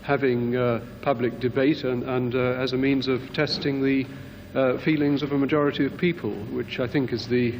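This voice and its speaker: A man of average pitch offers a formal, halting comment at a public meeting. Clear noise sits behind his voice. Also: little pitch movement, darkish tone. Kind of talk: hesitant formal comment from the floor. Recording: clearly noisy background.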